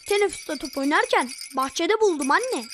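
A woman's voice: very high voice, expressive, moderate pace, noisy background. A woman voicing a polite, eager young schoolboy in a children's cartoon, with a childlike manner. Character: cheerful, eager, well-mannered schoolboy son.

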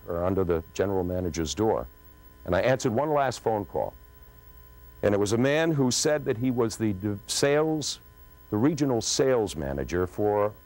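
A middle-aged man with a very low voice tells a story from his radio career. His phrasing is polished, deliberate and dramatic, like a practised broadcaster on stage. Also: a little mellow, rough recording. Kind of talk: polished anecdotal storytelling to an audience. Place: on a stage in front of a curtain.

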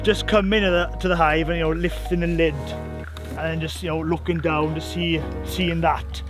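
Man in his thirties, from Wales, beekeeper, casual chat, slightly raised pitch, faint music behind. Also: normal speed. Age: 30s. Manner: relaxed, repeats words as he talks. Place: farm kitchen and woodland hive site.

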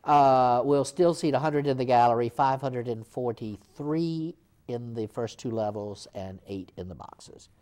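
An older man in his sixties speaks as the guest of a local TV talk show, explaining theater history. His talk is animated and full of restarts and little fillers. He sits in a small studio set, picked up by a lapel mic. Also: medium pitch, measured pace. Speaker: theater executive director, guest.

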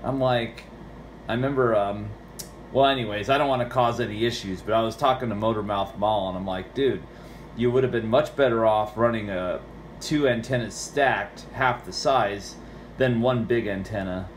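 A man's voice: fairly low pitch, moderate pace. An American man chatting casually and at rambling length about technical matters as a radio operator. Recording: roomy, with background radio noise.

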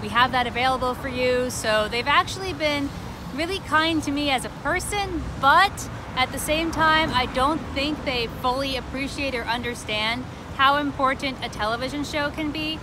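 A young woman from Florida, interviewed outdoors on a street corner, speaking in an earnest, articulate, measured way. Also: high voice. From Florida, USA. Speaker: protester on hunger strike. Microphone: handheld phone camera close up. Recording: outdoor street with loud traffic noise. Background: loud traffic.

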